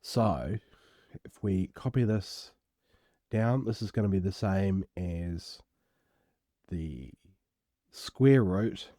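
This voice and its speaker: A man with a croaky voice, casually working through an algebra exam step by step as a tutor, recorded at home. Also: deep voice, mellow, no room sound, studio quality. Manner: casual, self-deprecating, explains step by step. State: croaky voice from illness. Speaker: maths tutor. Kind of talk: worked exam walkthrough.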